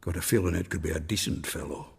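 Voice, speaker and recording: An actor playing a jovial, wily middle-aged dwarf in a video game, gravelly and theatrical, with a wheedling manner. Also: male voice, low-pitched, fast, long reverb tail, heavily acted. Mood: sly, conspiratorial. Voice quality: gravelly, creaky.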